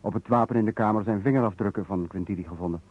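Husky, methodical police investigator. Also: male voice, fairly low pitch, racing. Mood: explanatory, earnest. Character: earnest, methodical male Italian police investigator. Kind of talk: old Dutch radio detective drama acting. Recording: muffled, narrow-band vintage radio recording.

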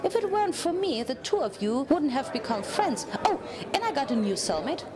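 A woman voicing a bubbly, excitable female cartoon pony prisoner who is relentlessly optimistic, in a story reading. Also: high voice, fairly quick, a crowd in the background, voice pushed up. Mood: cheerful, excited. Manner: excitable, chirpy, bouncy.

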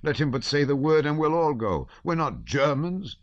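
A man voicing an excitable, patriotic older nobleman and father who blusters loudly. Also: fairly high pitch, normal speed, no echo, muffled recording, pitched above the performer's own voice. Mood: excited blustering.

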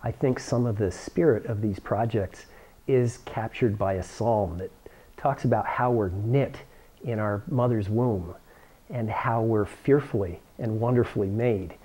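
Mellow, slightly husky man. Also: on the low side, unhurried. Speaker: science toy-making instructor. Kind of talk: how-to demonstration to camera. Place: indoor workroom with cabinets.

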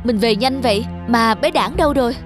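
Lady playing a sweet devoted young mom in a fairy tale cartoon, tender, highish, music behind. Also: brisk, lightly acted. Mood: surprised. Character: gentle, devoted young wife and mother in folk tale. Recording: music under the voice.

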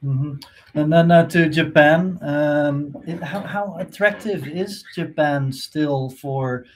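A man's voice: on the high side, slow. A man moderating a webinar on a video call, putting questions to panelists with hesitant fillers.

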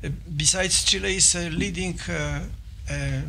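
An older man from Poland with a Polish accent, giving a formal presentation and often pausing with fillers and restarts. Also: sits high, creaky, bright, forceful tone, slight background noise. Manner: halting, with many fillers and restarts. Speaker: visiting scholar presenting. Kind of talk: formal presentation to a small audience. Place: office meeting room.

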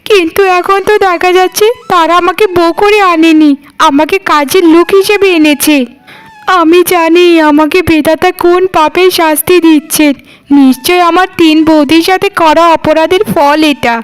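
Woman acting a very high-pitched, plaintive young wife. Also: steady tone, normal speed, rough recording. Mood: self-pitying. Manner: plaintive, pleading. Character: exhausted, self-pitying, overworked young wife. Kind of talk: cartoon voice acting.

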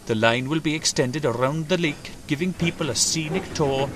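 Man voicing a formal railway controller, raised pitch. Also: normal speed, background noise, close to an everyday voice. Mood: announcing. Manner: formal, authoritative speechmaking. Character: proud, assuring adult male railway controller. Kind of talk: children's railway storybook character voice.

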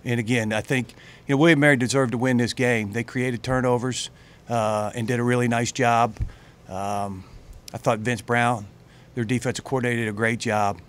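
A middle-aged man, a head football coach, answering questions at a postgame press conference in plain, halting speech full of fillers and restarts, into a table mic. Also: mid-range voice, fairly even intonation. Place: press room with branded backdrop. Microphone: table mic on a stand.

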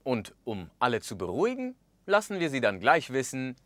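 A man in his thirties presenting a language course in a studio, speaking with expressive gestures and acting out the example phrases. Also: medium pitch, normal speed, dry recording. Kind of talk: scripted lesson narration. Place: studio with orange backdrop.

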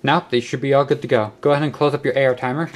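A man's voice: medium pitch, fairly even intonation, rapid-fire. Man giving a hands-on tutorial, noisy background. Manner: instructional, step-by-step. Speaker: host of a hobby electronics how-to video. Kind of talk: casual hands-on tutorial narration. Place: cluttered indoor workshop or utility room. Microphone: handheld camera microphone. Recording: clicks and clear background noise, handheld camera.

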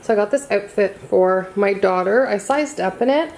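Chatty mom vlogger at home. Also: female voice, medium pitch, moderate pace, creaky, a little mellow, a little hiss or hum. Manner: chatty, upbeat, many fillers. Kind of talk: casual talk to camera. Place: home dining room and kitchen.

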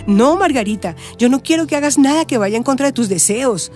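Mellow, high-voiced compassionate counselor. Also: female voice, lively intonation, brisk, soft background music. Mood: gentle, serious. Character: calm, compassionate woman counseling a troubled girl. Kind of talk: radio drama acting.

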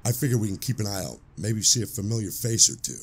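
Man playing a grizzled veteran cop, low voice, expressive swings, brooding, audio drama, music underneath. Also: husky, exaggerated voice. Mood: reflective. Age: older. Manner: brooding, measured storytelling. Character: grizzled, street-hardened old police sergeant, world-weary, days from retiring. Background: background music.

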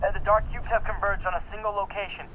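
A man's voice: high-pitched, level tone, fast talker, sharp tone, a little hiss or hum, low-quality recording. An actor playing a helpful teenage boy tech genius in a video game, a man. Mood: focused, helpful. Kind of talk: video game cutscene acting. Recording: dramatic game music behind.

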